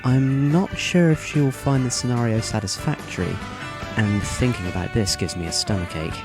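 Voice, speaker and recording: Man playing a calm, courteous teenage schemer. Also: medium pitch, normal speed, over music, natural voice, rough recording. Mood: polite. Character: smooth polite smiling teenage boy. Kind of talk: fan audio drama acting.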